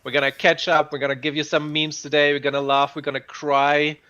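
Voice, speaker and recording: A man's voice: on the high side, flat delivery, a little fast, bright, forceful tone, clean tone, muffled recording. A man hosting a casual online morning show, cheerfully greeting viewers by name. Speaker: host.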